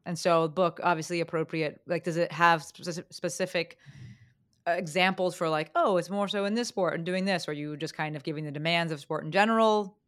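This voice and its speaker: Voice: mid-range voice, fairly even intonation, a little fast, fairly bright tone. A podcast host asking questions in an upbeat, affirming way. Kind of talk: casual interview talk.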